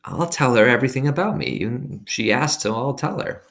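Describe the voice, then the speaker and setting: A man's voice: medium pitch, animated, normal speed, vocal fry, fairly bright tone, pitched above the performer's own voice. A man voicing an earnest, curious young girl in a storybook read-aloud, with eager, simple phrasing. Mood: decided. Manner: short eager questions, childlike wording.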